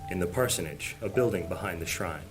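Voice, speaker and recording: Low-voiced man narrating solemn fantasy. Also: animated. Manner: solemn, measured storytelling. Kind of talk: scripted audio drama narration. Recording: background music under the voice.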